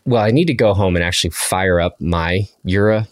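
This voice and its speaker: Very low-voiced man, dry joking host. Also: brisk. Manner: dry, joking, self-mocking. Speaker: podcast host. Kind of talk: casual podcast chat.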